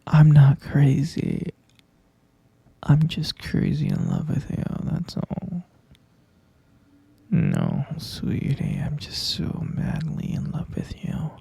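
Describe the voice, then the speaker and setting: A man voicing an obsessive, possessive young boyfriend who keeps his partner captive, talking in a soft, coaxing whisper close to the mic. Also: fairly high pitch, huge pitch swings, slow pace, gravelly, husky, heavily acted. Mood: sweetly obsessive. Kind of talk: whispered ASMR roleplay.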